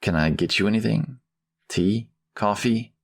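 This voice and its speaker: A man playing a polite, worried middle-aged father who speaks haltingly and gratefully in an audiobook. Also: lowish voice, creaky. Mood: polite, hospitable. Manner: halting, polite, soft-spoken hesitations. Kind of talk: audiobook dialogue acting.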